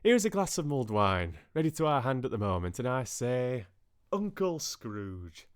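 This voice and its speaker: A man's voice: medium pitch, very animated, normal speed, smooth, voice pushed up, close to an everyday voice. Casting: man to play a merry, hearty young nephew for a storybook, exuberant and jovial.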